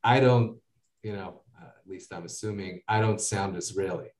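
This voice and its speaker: A man with an American accent talks in a loose, rambling way, filling his pauses with "uh" and "you know". His voice sits low. He is recorded from a distance in a noticeably echoey room. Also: darkish tone. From United States. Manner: rambling, many fillers and asides. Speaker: guest. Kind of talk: casual interview talk.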